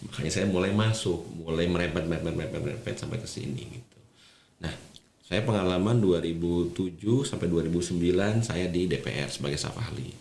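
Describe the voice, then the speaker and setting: A man's voice: low-pitched, brisk, a little mellow. A man, speaking as a podcast guest, explaining things conversationally and repeating phrases for emphasis. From Indonesia. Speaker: podcast guest, regional government official. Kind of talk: conversational interview storytelling. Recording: roomy echo, faint background noise.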